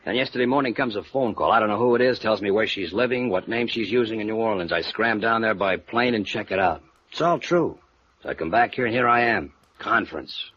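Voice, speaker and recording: A man's voice: on the low side, expressive, a little fast, fairly bright tone, slight background noise. A man playing a slangy, easygoing private detective agency operative in a 1950s radio noir drama. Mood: wry, matter-of-fact. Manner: casual, streetwise slang. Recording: muffled vintage radio drama recording.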